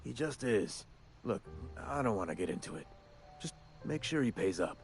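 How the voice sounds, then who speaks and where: An actor playing a folksy, shady undertaker in a game, drawling and conspiratorial, with music behind him. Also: male voice, fairly low pitch, sing-song, moderate pace. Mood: businesslike. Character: folksy undertaker man, middle-aged, shady and businesslike. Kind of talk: game dialogue. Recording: game audio with background music.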